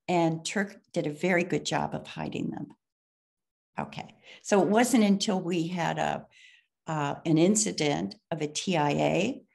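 A woman in her sixties, a patient's wife and caregiver, speaking on a video call from home in a halting way with many fillers. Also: low-pitched, creaky, darkish tone. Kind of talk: video call panel discussion. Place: home room, indoors.

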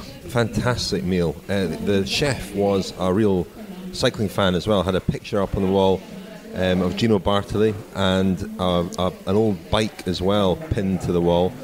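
A man's voice: deep voice, fairly even intonation, moderate pace. A British man hosting a sports podcast in casual, chatty style. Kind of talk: casual chat. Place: bar of a sports complex. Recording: busy public venue, background chatter.

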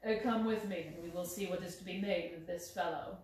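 Lady reading a cool sly detective guy, low and bland, bit of hiss. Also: brisk, darkish tone, lightly acted. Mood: calm. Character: calm, bland, clever male detective. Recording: roomy, distant mic, faint hiss.

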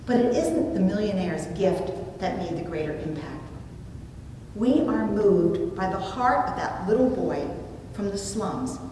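A woman's mellow voice carries through a very reverberant church room, picked up from far off. She preaches in measured, deliberate phrases, telling stories expressively from a pulpit. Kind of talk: sermon with storytelling, read from notes. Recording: distant mic, very echoey room, rumbly noise.

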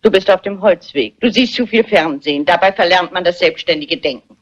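Shrewd old lady sleuth, played by an actress: husky, brisk, tart, on a dull vintage soundtrack. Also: fairly low pitch, natural voice. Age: older. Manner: brisk, plain-spoken, unimpressed. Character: shrewd, unflappable, tart-tongued amateur sleuth working as housekeeper. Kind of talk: German crime film dubbing, theatrical dialogue.

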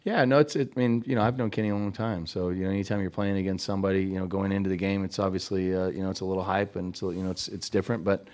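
Low-voiced fast-talking coach, halting. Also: male voice, fairly even intonation, darkish tone. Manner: halting, many restarts and fillers. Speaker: head softball coach. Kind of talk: postgame press conference answers. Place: press conference room. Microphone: table mic.

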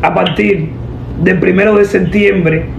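A young woman making a deadpan prank phone call, giving instructions in an official-sounding manner. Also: lowish voice, measured pace, creaky, roomy sound, slight background noise. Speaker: prank caller, host. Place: indoor room at a table. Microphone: camera a short distance away.

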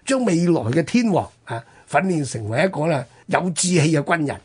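Husky, lively Hong Kong Cantonese man. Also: a little high, brisk. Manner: explanatory, punctuated with rhetorical questions and interjections. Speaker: radio history programme host. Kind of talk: conversational historical storytelling.

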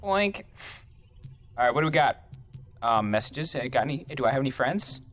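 A man's voice: mid-range voice, lively intonation, normal speed, fairly bright tone, faint music behind. A young man doing goofy, rambling let's-play commentary at home, joking while he plays. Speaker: gaming streamer.

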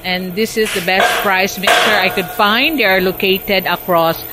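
A woman with a Filipino accent giving a casual, halting walk-through narration as a vlogger, recorded on a handheld camera in an open-sided factory. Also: mid-range voice, fairly even intonation, loud music behind the voice. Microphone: handheld camera microphone. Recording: noisy industrial workshop, loud ambient clatter.

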